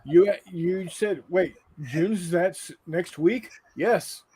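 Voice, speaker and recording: Higher-voiced man in casual chat. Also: very slow, mellow, breathy edge. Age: 50s. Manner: blunt, swears freely. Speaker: host. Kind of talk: casual chat, reading live viewer comments.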